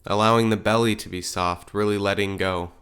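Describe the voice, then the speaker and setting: A man leading a guided meditation as a teacher, speaking in gentle, unhurried phrases with pauses. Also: on the low side, fairly even intonation, clean tone.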